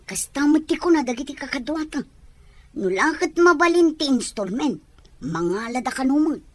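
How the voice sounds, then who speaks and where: A woman's voice: high voice, big pitch swings, normal speed, dry recording. A woman acting a reassuring young worker bound overseas in an Ilocano audio drama, with a Filipino Ilocano accent. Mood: matter-of-fact.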